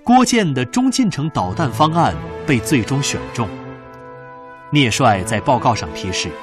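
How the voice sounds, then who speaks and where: A man's voice: medium pitch, big pitch swings, normal speed. A man reading scripted dramatic narration for a historical radio drama. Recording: music behind the narration. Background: background music.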